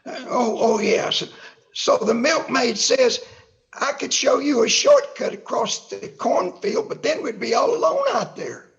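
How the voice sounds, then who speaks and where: A man playing a cranky, folksy old-timer who rambles in the style of old-time radio comedy, with a creaky voice. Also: very high-pitched, big pitch swings, measured pace, fairly bright tone, muffled recording, heavily acted. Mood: flustered, contrary.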